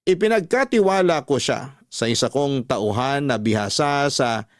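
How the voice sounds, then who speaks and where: A man's voice: mid-range voice, animated, measured pace, dry recording, clean studio sound. A man narrating a scripted first-person life story as a radio host. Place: dry studio.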